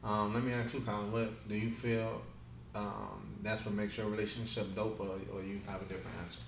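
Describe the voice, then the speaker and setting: A man's voice: on the low side, flat delivery, a little fast, creaky, roomy sound, a little hiss or hum, poor audio. A man hosting a podcast, asking questions in a loose, slangy way and laughing easily. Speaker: podcast host. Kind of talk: casual interview.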